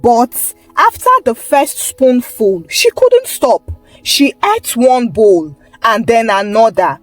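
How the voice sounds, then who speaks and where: A woman's voice: a little high, big pitch swings, slow, no echo, soft background music. A woman storyteller reading a scripted folktale narration.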